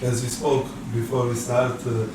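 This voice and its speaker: A man hosting a casual podcast interview, talking haltingly with many fillers and switching between languages. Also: mid-range voice, flat delivery, over a noisy crowd. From Montenegro. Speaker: podcast host. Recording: echoey room from far off with noise.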